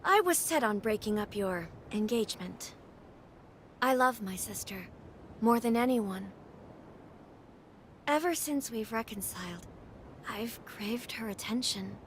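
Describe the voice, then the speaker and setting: A woman's voice: high voice, a bit bright, slight background noise. A woman playing a haughty, overprotective noble sister in a video game cutscene, speaking in a formal, dramatic manner.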